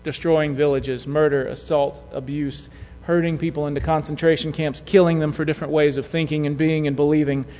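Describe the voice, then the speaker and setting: High-voiced preacher in an echoing hall. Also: male voice, level tone, fairly quick, few pauses, a little mellow. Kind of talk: sermon storytelling. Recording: long hall reverb.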